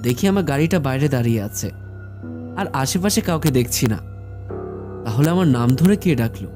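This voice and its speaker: A man narrating a scripted first-person horror story in a measured storytelling manner. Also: medium pitch, expressive. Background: background music.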